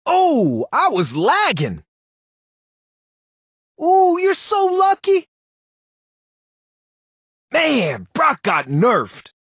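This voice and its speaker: A brash, cocky young brawler from a video game, bragging and trash-talking in short bursts. His voice sits high and swings wildly up and down. He takes his time over each line, and it sounds dry and close. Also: huge pitch swings, extremely slow, pauses often, fairly bright tone, dry recording, heavily acted. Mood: hyped. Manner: boastful, hyped, trash-talking.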